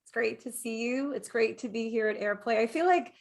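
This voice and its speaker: A woman's voice: fairly high pitch, a little fast, gravelly. A woman from New York City co-hosting a show, giving introductions that sound partly read from prepared notes. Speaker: co-host, actor and director.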